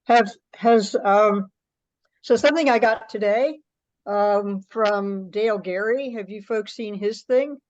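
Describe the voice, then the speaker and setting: Female academic, casual technical chat, medium pitch, muffled teleconference sound. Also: slow, a little mellow. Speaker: academic in a group call. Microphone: teleconference call. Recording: dull lo-fi conference call audio.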